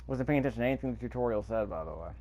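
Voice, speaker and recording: A man's voice: medium pitch, lively intonation, very fast, darkish tone, highs cut off. A man giving offhand, rambling gameplay commentary and reading prompts aloud as he plays. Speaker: gaming video host. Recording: faint noise behind the voice.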